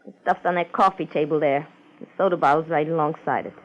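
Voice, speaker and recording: A woman's voice: deep voice, quick pace, highs cut off, close to an everyday voice. A woman playing a tough, glamorous femme fatale with curt, defiant delivery in a 1950s radio crime drama. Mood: defiant, suspicious. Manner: curt, defiant, clipped lines.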